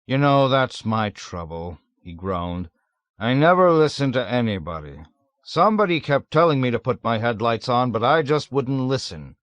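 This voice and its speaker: A man's voice: mid-range voice, lively intonation, moderate pace, fairly bright tone, dry recording, close to an everyday voice. Male reader as a jovial drunk army driver, brash, careless, dry close audiobook sound. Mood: rueful. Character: boastful drunken adult male soldier driver.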